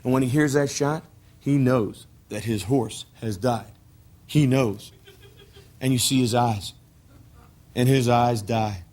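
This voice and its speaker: An actor playing a rough, volatile brother in a stage drama, telling a story with folksy, emphatic repetition. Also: male voice, medium pitch, slow pace, long pauses, darkish tone, lightly acted. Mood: intense storytelling. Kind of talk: naturalistic filmed stage-play acting.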